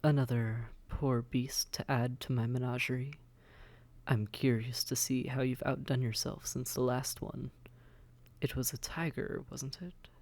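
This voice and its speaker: Man playing a suave, gravelly demon prince. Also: medium pitch, darkish tone. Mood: smug, amused. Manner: measured, refined, condescending. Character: suave, haughty male demon prince, patient seductive tempter. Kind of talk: scripted roleplay audio drama, addressed to listener.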